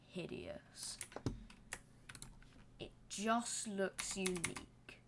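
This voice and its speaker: A young woman talks slowly through a building game, rambling into self-deprecating jokes. Her pitch sits mid-range, and faint hiss with keyboard typing hums behind her desk mic. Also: fairly bright tone. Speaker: gaming channel host. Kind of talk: casual gameplay commentary.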